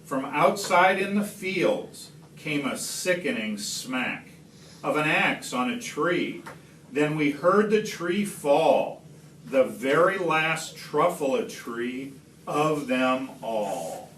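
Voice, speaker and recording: A man reading a rhyming picture book aloud expressively, slowly and deliberately, with dramatic pauses. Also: a little high, animated, fairly bright tone. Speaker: read-aloud storyteller. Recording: roomy, distant phone capture, faint noise.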